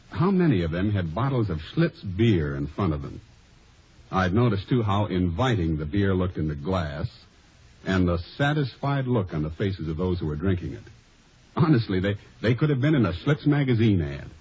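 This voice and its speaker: A man's voice: low-pitched, darkish tone, husky, heavily acted. An actor playing a shy research man and lone traveler, telling his story conversationally in a vintage radio advertisement. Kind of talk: scripted first-person radio advertisement storytelling. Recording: dull vintage radio recording.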